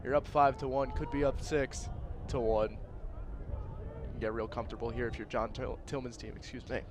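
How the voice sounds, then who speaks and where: A male color commentator talks in a mid-pitched voice during a live game. His delivery is conversational and analytical. Outdoor background noise is clearly audible behind him. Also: little pitch movement, normal speed.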